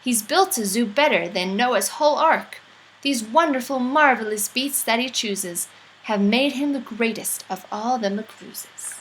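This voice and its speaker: A woman's voice: on the high side, measured pace, fairly bright tone, a little hiss or hum, low-quality recording. A woman voicing amazed, admiring townspeople in a children's storybook, exclaiming in awe. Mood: amazed admiration. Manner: exclaiming, awestruck. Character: amazed, admiring townspeople praising a young zookeeper. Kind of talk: storybook read-aloud.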